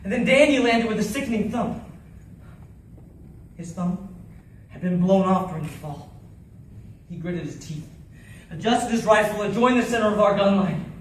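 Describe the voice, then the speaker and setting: A man performs a haunted military survivor in a dramatic stage-style monologue. The voice is high for a man and intense, moving between hushed grief and urgency. It sounds distant in a heavily echoing space with faint noise. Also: expressive, normal speed, long pauses. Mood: urgent, anguished. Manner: theatrical, intense, swinging from hushed to shouting. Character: haunted Navy SEAL survivor recounting a deadly battle. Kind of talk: dramatic first-person monologue.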